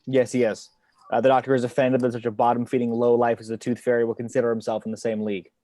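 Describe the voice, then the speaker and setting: A man's voice: medium pitch, little pitch movement, fast talker, dark tone, no echo, clean studio sound, natural voice. A man playing a weary, sardonic FBI investigator in a screenplay table read. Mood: dry, provoking. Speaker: amateur actor in an online table read. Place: remote video call.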